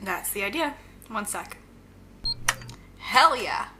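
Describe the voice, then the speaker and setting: A woman's voice: medium pitch, animated, slow, noisy background. A woman playing a breezy young bank-robber villain in an audio drama, flippant and playful in a naturalistic style.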